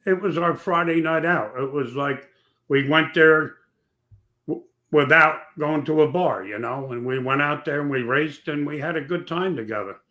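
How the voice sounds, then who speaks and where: A man with a raspy voice, a podcast guest telling rambling, folksy stories in casual chat. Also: a little high, moderate pace, bright, forceful tone. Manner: rambling, folksy, with many fillers. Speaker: podcast guest, former track owner.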